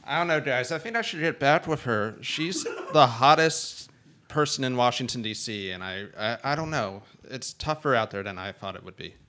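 A man's voice: medium pitch, lively intonation, normal speed, sharp tone. A man playing a hesitant, earnest, lovelorn boyfriend in an improvised comedy radio play. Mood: torn. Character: wavering lovelorn boyfriend, easygoing and hapless.

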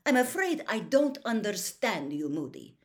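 A woman's voice: mid-range voice, animated, normal speed, sharp tone, voice pushed up. A woman voicing a cold, disdainful male headmaster with haughty, mocking delivery in a storybook reading.

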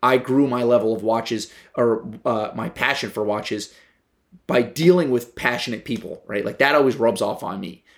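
A man's voice: mid-range voice, normal speed, gravelly. A man hosting a podcast, talking casually with lots of fillers and tag questions. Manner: loose, filler-heavy, tag questions like "right?". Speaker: podcast host. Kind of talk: casual conversation.

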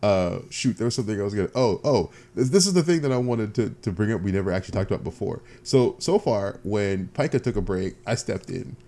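A man's voice: lowish voice, animated, a little fast, hardly any pauses, dark tone. A man co-hosting a podcast, chatting casually with dry, teasing banter. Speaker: podcast co-host.

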